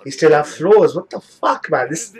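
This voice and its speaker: A young man with a hoarse, flu-worn voice, casually reacting to anime episodes with lots of swearing. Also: fairly high pitch, lively intonation, normal speed, very noisy. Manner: casual, swears a lot, coughs now and then. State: hoarse, getting over a flu. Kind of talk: live reaction commentary. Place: small indoor room. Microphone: webcam, headphones on.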